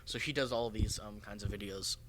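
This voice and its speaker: A man's voice: fairly low pitch, fairly even intonation, a little fast, fairly bright tone, slight background noise. A young American man co-hosting a podcast, chatting casually and bluntly with frequent swearing. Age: young adult. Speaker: podcast co-host. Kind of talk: casual group chat.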